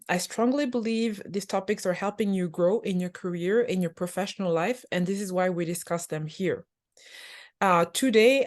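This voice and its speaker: Soft-spoken woman podcast host, many fillers. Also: fairly low pitch. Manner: hesitant, many filler sounds. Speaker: podcast host, career coach. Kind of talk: podcast interview.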